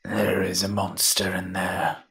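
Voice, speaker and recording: A man voicing a grumbling, reluctant invisible monster with a secret soft heart in an audio roleplay, dry and sardonic. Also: sits low, monotone, measured pace, creaky, exaggerated voice. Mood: grudging, sardonic. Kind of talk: scripted character monologue, audio roleplay.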